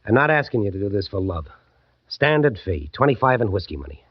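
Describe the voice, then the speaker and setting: A hard-bitten, uneasy American detective in a radio play. He talks quickly and to the point in a lowish voice, full of slang. The old recording sounds dull. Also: sing-song, dry recording. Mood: worried, matter-of-fact. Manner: brisk, slangy, matter-of-fact. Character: businesslike, worried male private detective, married, hard-bitten. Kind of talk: old-time radio detective drama acting.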